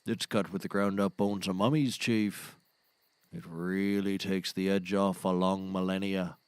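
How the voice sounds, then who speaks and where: A man's voice: deep voice, flat delivery, unhurried, clean tone, no echo. A man playing a brash, scheming, theatrical sidekick in a scripted audio comedy, bossy and mock-grand as he teases. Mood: breezy.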